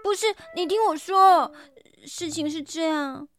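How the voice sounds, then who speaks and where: A woman acting as an excitable young woman with an extremely high voice. She speaks slowly in a whiny, cutesy radio drama manner, full of exclamations. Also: soft background music. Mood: sheepish, earnest.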